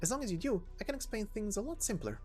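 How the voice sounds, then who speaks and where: A woman's voice: mid-range voice, expressive, quick pace, darkish tone, soft background music, pitched above the performer's own voice, lightly acted. A woman voicing a chatty, cheeky young woman helper with slangy, breezy talk in a game story reading. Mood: explaining, casual. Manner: slangy, breezy, stumbling over big words.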